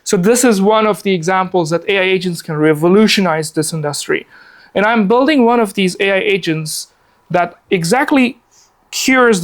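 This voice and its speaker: A man's voice: high-pitched, a bit bright. Upbeat man from Afghanistan presenting. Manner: chatty, upbeat, many fillers like "alrighty". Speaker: workshop presenter. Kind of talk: casual live presentation. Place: meeting room, seated at a laptop.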